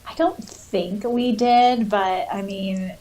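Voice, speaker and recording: A woman's voice: mid-range voice, fairly even intonation, slow, warm tone, far from the mic. A woman co-hosting a podcast, chatting casually and reading out quotes. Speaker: podcast co-host. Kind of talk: casual chat; reading out quotes and listener comments.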